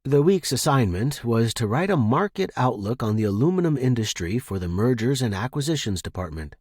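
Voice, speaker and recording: A man's voice: medium pitch, big pitch swings, brisk, a little mellow, slightly rough, dry recording, clean studio sound. A man reading a short story as scripted, dramatic narration for a fiction podcast.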